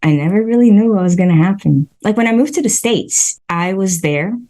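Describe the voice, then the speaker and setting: A woman's voice: mid-range voice, lively intonation, a little fast, dark tone. A woman from Brazil whose first language is Portuguese, speaking as a podcast guest in an easygoing, meandering way with many fillers and restarts. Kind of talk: reflective conversational storytelling.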